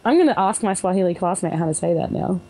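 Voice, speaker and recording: A young woman co-hosting a podcast, casual and playful, laughing often and throwing in quick quips. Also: lowish voice, expressive, dark tone, rough recording. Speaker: podcast co-host. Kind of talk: casual chat.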